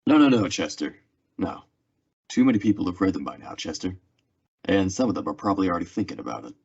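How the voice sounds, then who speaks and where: A man's voice: deep voice, big pitch swings, fast, gravelly, darkish tone, husky, heavily acted. A man acting a weary, stoic frontier marshal in an old-time radio western drama. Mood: calm, stoic. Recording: dull, lo-fi audio.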